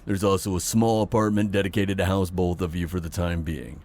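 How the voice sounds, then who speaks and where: A man playing an authoritative police chief in an audio roleplay, speaking in a measured, formal, matter-of-fact briefing style. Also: low voice, little pitch movement, darkish tone. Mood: businesslike, firm.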